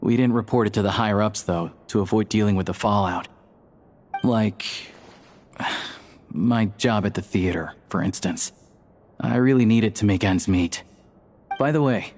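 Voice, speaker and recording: Low-voiced, easygoing young man played by an actor. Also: moderate pace, a little mellow, a little hiss or hum, close to an everyday voice. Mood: casual, explaining. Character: easygoing young male theater worker and secret comrade. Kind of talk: video game voice acting.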